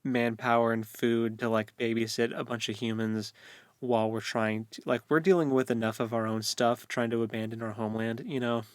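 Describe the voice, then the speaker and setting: A man's voice: mid-range voice, level tone, fairly quick, no echo, studio quality. A man co-hosting a podcast, discussing a book casually in loose, informal phrases. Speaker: podcast co-host.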